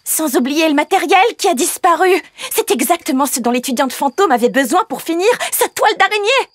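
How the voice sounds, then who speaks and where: A woman voicing a timid, excitable, easily frightened young schoolgirl in a French cartoon, anxious and expressive. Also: sits high, brisk, no room sound, studio quality. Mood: worried.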